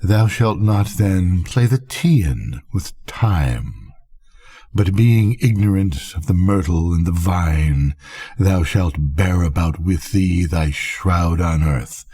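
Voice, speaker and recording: Dying gothic wife, read by an older man, very slow, low husky voice, solemn, foreboding, storybook. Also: expressive, dark tone, heavily acted. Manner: solemn, drawn-out, declamatory.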